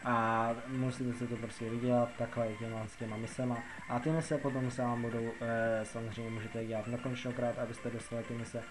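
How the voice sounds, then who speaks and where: A man's voice: medium pitch, little pitch movement, hardly any pauses, noisy background. A young adult Czech man giving a casual game tutorial, explaining in a rambling, repetitive, colloquial way. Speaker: gaming video host.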